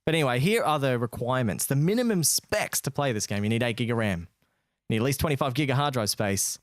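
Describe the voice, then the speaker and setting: A man's voice: medium pitch, lively intonation, fast, no room sound, studio quality. A young Australian man co-hosting a gaming podcast, giving news in a laid-back, matey, casual way.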